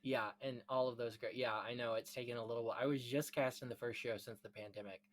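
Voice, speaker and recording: A man's voice: mid-range voice, steady tone, fast, a little hiss or hum. A man hosting a podcast in a casual film-history chat, talking in an effusive, gushing way. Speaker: podcast host.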